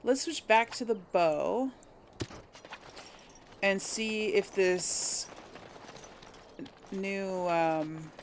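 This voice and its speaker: A woman's voice: medium pitch, drawn-out words, pauses often, slight background noise. A woman giving casual let's play commentary, chatting to viewers and musing aloud as she goes. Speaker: gaming video host. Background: video game ambience and effects.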